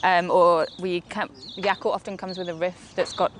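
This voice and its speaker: A woman's voice: fairly low pitch, little pitch movement, moderate pace, slight background noise. Friendly woman musician. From United Kingdom. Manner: friendly, laughs easily. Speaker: woodwind player, interviewee. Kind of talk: casual interview chat.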